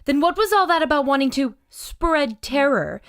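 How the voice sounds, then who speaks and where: A quick-thinking heroine of a radio adventure serial, played by a woman. Her voice is a little high and lively in pitch, with an assertive, questioning way of talking. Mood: skeptical. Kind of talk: old-time radio adventure acting.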